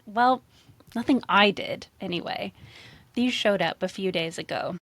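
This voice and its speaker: A woman's voice: mid-range voice, a little slow, fairly bright tone, dry recording, studio quality. A woman reading the part of a sceptical young female shopkeeper in a fantasy story, wry and questioning. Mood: sheepish.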